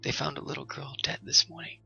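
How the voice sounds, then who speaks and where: A man's voice: mid-range voice, level tone, brisk, gravelly, lo-fi sound, soft background music, voice pushed up, heavily acted. A man voicing a secretive woman who whispers grim news. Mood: hushed. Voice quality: hushed whisper.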